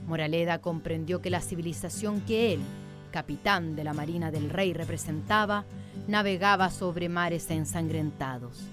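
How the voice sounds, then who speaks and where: A woman's voice: on the low side, normal speed, over music. A woman narrator reading a scripted, literary radio drama in a solemn storytelling manner.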